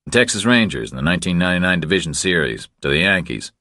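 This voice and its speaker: A man voicing a steady, protective tough man, calm, firm and terse, in an audiobook thriller. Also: sits low, fast talker, dry recording, studio quality, heavily acted. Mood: dry. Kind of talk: audiobook character dialogue.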